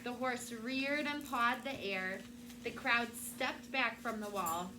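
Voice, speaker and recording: A woman's voice: on the high side, slow. A woman teacher reading a storybook aloud to young children with dramatic, emphatic phrasing. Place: classroom. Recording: noisy room with background ambience.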